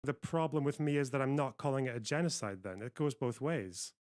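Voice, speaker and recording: A man with a middling-pitched voice speaks as a debate commentator. He is articulate and insistent, laying out his argument point by point. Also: expressive, fairly quick, a little mellow.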